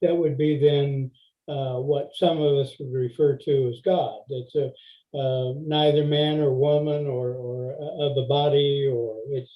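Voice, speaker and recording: A man's voice: medium pitch, level tone, measured pace, highs cut off. A man in the audience asking questions hesitantly, with many fillers, on a video call.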